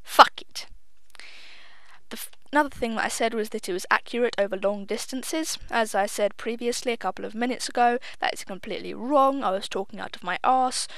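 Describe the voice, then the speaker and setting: A woman's voice: on the high side, a little fast, a bit bright, dry recording. A young woman giving casual, chatty gameplay commentary. She is self-deprecating, swears offhandedly and admits she sounds a little nervous. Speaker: gaming video host.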